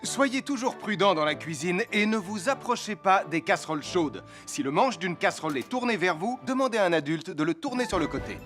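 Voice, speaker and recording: An actor voicing a friendly adult firefighter who calmly gives safety advice in a cartoon. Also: male voice, sits high, a little fast, few pauses. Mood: steady advice. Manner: calm, reassuring instruction. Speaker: safety narrator. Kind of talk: French cartoon dub. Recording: studio voice with loud cartoon music.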